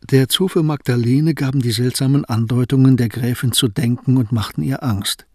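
A man narrating a historical radio drama in a measured, sober way. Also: mid-range voice, darkish tone, no room sound. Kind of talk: scripted narration. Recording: dry close recording with music.

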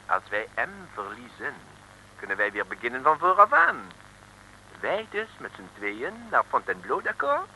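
A grandiose French inspector in a vintage radio drama, with a French accent. His delivery is formal and emphatic, his pitch leaping about, his tone piercingly bright. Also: huge pitch swings, normal speed, slight background noise, heavily acted, rough recording. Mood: insistent. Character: courteous, excitable French police inspector, dutiful and grandiose. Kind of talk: 1950s-60s radio serial acting.

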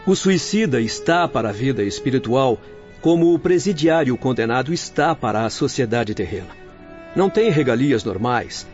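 Male actor as composed, patient Brazilian spirit doctor, formal radio-play delivery, lo-fi recording over soft music. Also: medium pitch, lively intonation, natural voice. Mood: grave, frank. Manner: measured, formal, reassuring. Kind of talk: Brazilian radio drama acting. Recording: dull lo-fi radio drama audio with music.

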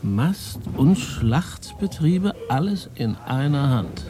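An actor playing a sceptical radio journalist in a naturalistic radio drama, with probing, ironic, short questions. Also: male voice, on the high side, very animated, takes it slowly, crowd noise. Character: sceptical, sardonic male radio journalist investigating a story.

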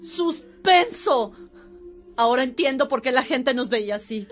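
An actress playing a protective, devout sister of a priest in a radio drama, earnest and measured. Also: female voice, high-pitched, lively intonation, faint music behind. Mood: shocked. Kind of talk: Spanish-language radio drama acting.

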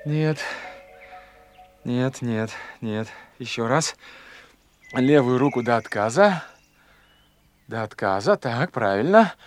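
An actor playing an eager, flustered young golf fanatic in a radio play, hesitant and muttering to himself. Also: male voice, medium pitch, animated, takes it slowly, long pauses, faint music behind. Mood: self-coaching, eager. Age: young adult. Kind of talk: Russian radio play acting.

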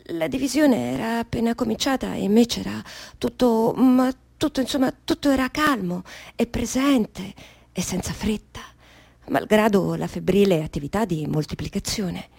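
An actress playing a trapped, stubborn, sardonic unborn baby with an adult mind in a theatrical radio monologue, repeating and correcting herself. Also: female voice, medium pitch, normal speed, creaky, darkish tone, breathy edge, no room sound. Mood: dreamy, delighted. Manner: self-correcting, repetitive, musing then emphatic.

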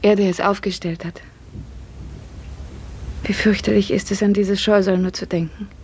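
A woman's voice: mid-range voice, fairly even intonation, moderate pace, a little mellow, a little hiss or hum, lightly acted. A woman playing an earnest, oppressed nineteen-year-old serf actress in a 1950s radio play, speaking with intensity. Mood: fearful, bitter.

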